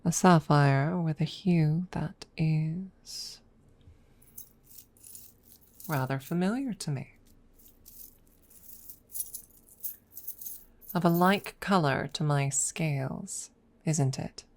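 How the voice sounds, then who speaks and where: Woman playing a low, slow, creaky-voiced proud dragoness. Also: a little mellow, no room sound. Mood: hushed, curious. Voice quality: breathy close murmur, often dropping to a whisper. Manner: formal, deliberate, hesitant, with long pauses. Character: proud, guarded female dragon secretly fond of her rival. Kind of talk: ASMR audio roleplay. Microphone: close microphone.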